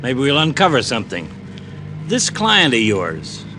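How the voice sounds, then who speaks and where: A man playing a brisk, blunt police detective in an old-time radio drama. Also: medium pitch, huge pitch swings. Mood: skeptical. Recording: noisy, humming vintage radio recording.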